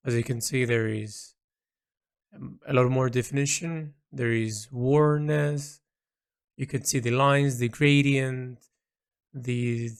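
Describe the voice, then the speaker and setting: A man with a mid-pitched voice and a noticeable fry talks slowly through his creative project. He is reflective and halting, often pausing partway through a phrase. The delivery is that of an informal audio log by an artist.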